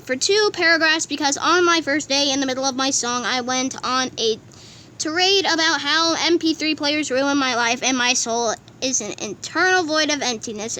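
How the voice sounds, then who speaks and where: A woman voicing a bitter, gloomy boombox in a cartoon comic dub, grumbling morosely. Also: bright, forceful tone, slight background noise.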